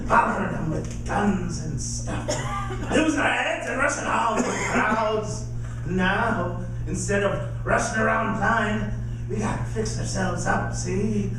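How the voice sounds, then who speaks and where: A man acting in live radio theater as a feverish, scheming militia survivor of an alien invasion, ranting in a folksy, emphatic way. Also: high-pitched. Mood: excited, insistent. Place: performing arts center stage. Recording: echoey live theater, distant mic, loud music. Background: music.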